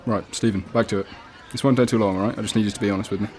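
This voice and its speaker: A man's voice: fairly low pitch, steady tone, rapid-fire, a little mellow, lightly acted. A man with a British accent playing a casual but persistent police detective in a radio play, questioning politely and insistently. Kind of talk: student radio drama acting. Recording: cafe crowd chatter behind.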